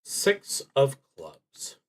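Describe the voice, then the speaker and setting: Slow-paced man thinking aloud through a game. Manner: halting, trailing off while working things out. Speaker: solo roleplaying game streamer. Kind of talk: thinking aloud while playing, reading out game cards.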